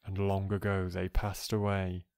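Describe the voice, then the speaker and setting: A man with a soft voice reading poetry aloud in a measured, gentle, calming way. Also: low voice, clean tone, dry recording, studio quality. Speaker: poetry reader. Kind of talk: slow, calm recited poetry reading for relaxation.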